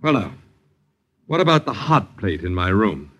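An actor playing an uppity, needling boarder in a 1950s radio drama, with florid diction and mock politeness. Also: male voice, lowish voice, very animated, normal speed, a bit bright, slightly rough, highs cut off, exaggerated voice. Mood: sardonic. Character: suave, sardonic, uppity boarder who needles his landlords.

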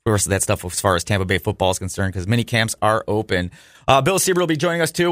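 A man's voice: fairly low pitch, a bit bright, no echo. An American man hosting a sports talk radio show, speaking in a chatty, informal, opinionated way on a close studio microphone.

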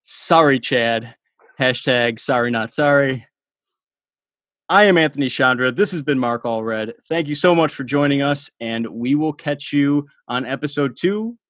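A man's voice: medium pitch, measured pace, fairly bright tone, no echo, studio quality. A man hosting a sports podcast interview, chatty and casual with lots of fillers and laughter. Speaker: podcast host.

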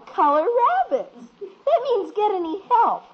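A woman's voice: very high-pitched, very animated, a little slow, full character voice. A woman voicing an eager young girl in a 1950s radio sitcom, prim and earnest. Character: bright eager little girl, proud of her secret. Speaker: daughter. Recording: dull old radio broadcast.

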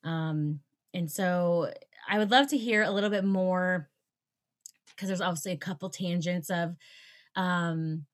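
A woman's voice: lowish voice, measured pace. A woman hosting an interview, asking friendly, meandering questions with frequent ums and restarts. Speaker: podcast host. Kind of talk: interviewing a guest.

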